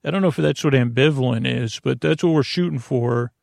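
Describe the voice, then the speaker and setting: A man with a creaky voice hosting a bedtime podcast in a rambling monologue, halting and meandering off into tangents. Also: medium pitch, darkish tone, no echo, studio quality. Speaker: podcast host.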